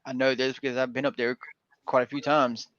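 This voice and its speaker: A man's voice: medium pitch, little pitch movement, brisk, fairly bright tone, smooth, dry recording. A man from Georgia hosting a casual livestream panel, talking in a rambling, folksy way with lots of fillers. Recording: dull lo-fi dry stream audio.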